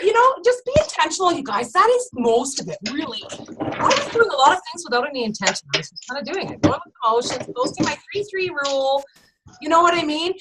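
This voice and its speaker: High, lively, animated woman motivating her team. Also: slight background noise, rough recording. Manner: emphatic, animated, keeps addressing listeners as "you guys". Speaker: sales team leader. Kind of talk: casual motivational team chat.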